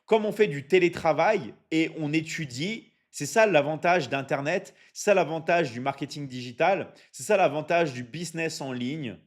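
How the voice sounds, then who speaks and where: A man from France hosts a live stream for his online academy. He talks at a slightly raised pitch, keeps repeating his points, and often stops to ask his viewers "ok?" between filler sounds. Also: normal speed, hardly any pauses. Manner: energetic, repetitive, drops many fillers and asks "ok? d'accord?". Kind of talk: live stream sales pitch reading chat.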